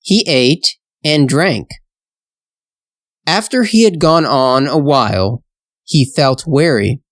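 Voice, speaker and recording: A narrator reading a children's storybook tale for language learners in a slow, deliberate, measured way. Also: medium pitch, lively intonation, no echo, clean studio sound. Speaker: storyteller, English teacher.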